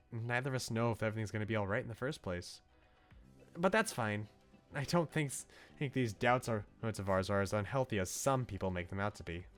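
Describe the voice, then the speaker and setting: An anxious young woman from a visual novel, read out by a man, rants and pleads earnestly. The delivery is frustrated and very animated in pitch. Game music plays faintly behind. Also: close to an everyday voice. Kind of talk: dramatic reading of game dialogue.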